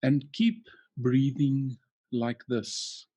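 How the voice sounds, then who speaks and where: A man leading a guided hypnotic relaxation as a coaching trainer, soothing and measured, leaving long pauses between short phrases. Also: medium pitch, fairly even intonation, mellow, dry recording.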